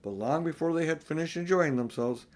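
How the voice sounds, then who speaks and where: An older man narrating a children's audiobook expressively, with unhurried, deliberate phrasing. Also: a little high, a little mellow. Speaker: audiobook reader.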